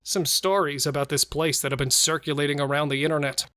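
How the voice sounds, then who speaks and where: A man's voice: a little high, steady tone, fast talker, bright, forceful tone. A man playing a cautious but joking killer and robber who steadies his partner, in horror audio drama dialogue. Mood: calm. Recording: music and effects under the voice.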